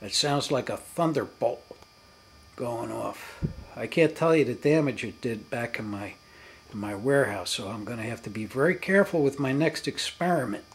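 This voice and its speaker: A man giving an unscripted demonstration as a hobbyist, in halting, broken phrases with emphatic stresses. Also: medium pitch, husky. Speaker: hobbyist demonstrating his electronics experiments.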